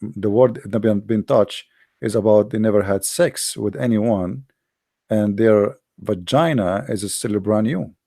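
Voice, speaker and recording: A man's voice: lowish voice, a little slow, dark tone, no echo, clean studio sound. A man whose first language is Arabic, hosting an online debate with a caller. He talks insistently, repeats his words and fires off rhetorical questions. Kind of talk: argumentative call-in debate.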